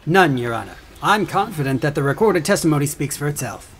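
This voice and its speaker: A man's voice: a little high, lively intonation, fairly quick, a bit bright. Male government lawyer: pompous, scornful, combative courtroom delivery, faint noise behind. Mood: confident. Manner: formal, combative, dismissive. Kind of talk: audio courtroom drama acting.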